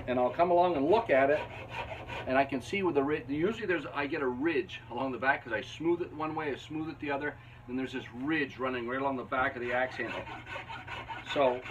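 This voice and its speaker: A man's voice: on the high side, a bit bright, a little hiss or hum, rough recording. Folksy Canadian man in his workshop. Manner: folksy, chatty, chuckling asides while he works. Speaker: woodworking channel host. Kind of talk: casual instructional talk while working. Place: basement woodshop. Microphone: camera on a bench a few feet away.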